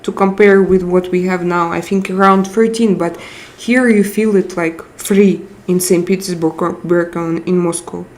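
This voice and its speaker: A woman with a Russian accent chatting casually on a live stream, reading out viewer comments and hunting for English words with frequent pauses. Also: fairly low pitch, steady tone, a little mellow. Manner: slow, searching for English words with frequent hesitations.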